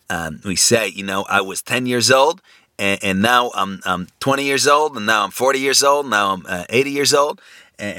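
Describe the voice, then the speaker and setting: A man lecturing off the cuff on a philosophical idea. His voice is bright and forward, recorded dry and close with no room sound. He stumbles through restarts and fillers and checks in with his listeners as he goes. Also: mid-range voice, no echo. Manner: halting, many fillers and restarts, thinking aloud; poses rhetorical questions, asks "right?".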